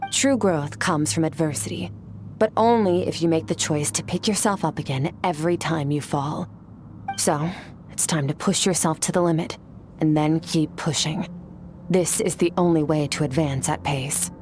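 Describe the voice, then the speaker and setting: An actress playing a cool, aloof female swordmaster who trains her student in a calm, measured, matter-of-fact way in a game. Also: deep voice, soft background music, lightly acted. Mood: composed. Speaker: combat trainer.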